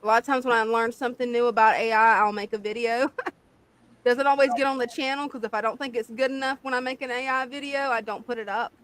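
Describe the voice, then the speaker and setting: A woman's voice: a little high, steady tone, fairly quick, a bit bright. A woman co-hosting a livestream, with a voice worn down after the flu, giving short agreeing replies and laughing often. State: losing her voice after flu. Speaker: co-host, video creator. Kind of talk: casual livestream chat.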